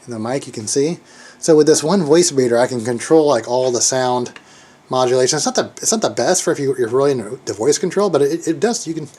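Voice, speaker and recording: A man with a mid-range voice talks through his setup like a hobbyist on a casual video. His delivery is unscripted and halting. He thinks aloud, circling back and rephrasing as he rambles along. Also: mid-range voice, darkish tone. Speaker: hobbyist showing radio gear. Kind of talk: casual unscripted show-and-tell.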